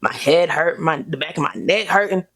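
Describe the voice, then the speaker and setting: A young adult woman co-hosting a podcast, chatting in an animated, playful, slangy way while recovering from the flu. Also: deep voice, normal speed. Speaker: podcast co-host. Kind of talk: casual chat.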